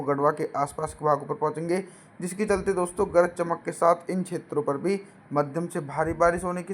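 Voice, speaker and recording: A hoarse man presenting a regional weather forecast, steadily listing place names and addressing viewers as friends. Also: a little high.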